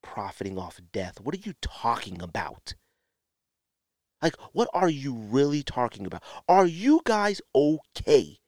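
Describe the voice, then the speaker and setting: A man's voice: medium pitch, very animated, a little slow, a little mellow, no echo, studio quality. A man hosting a solo podcast, talking in a blunt, exasperated way with emphatic rhetorical questions, like an opinionated rant. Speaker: podcast host.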